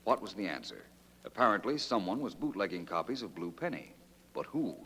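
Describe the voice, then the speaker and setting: A confident American radio detective. His low voice swings expressively as he explains with wry polish. The vintage recording carries a long reverb. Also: sing-song, fairly quick, bright, forceful tone, husky, exaggerated voice. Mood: assured. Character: suave, confident American male amateur detective. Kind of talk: old-time radio detective drama acting.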